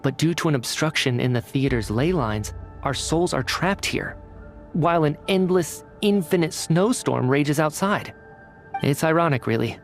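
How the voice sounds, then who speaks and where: An actor playing an earnest young man in a video game cutscene, telling his story in a measured, dramatic way. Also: fairly high pitch, expressive, soft background music. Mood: grave, resigned. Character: earnest young man, a lost soul recounting his death.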